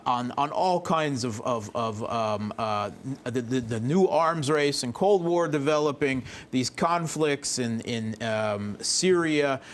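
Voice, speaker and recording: A man speaking as a commentator in a political panel discussion, haltingly repeating words as he searches for the next one. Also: mid-range voice.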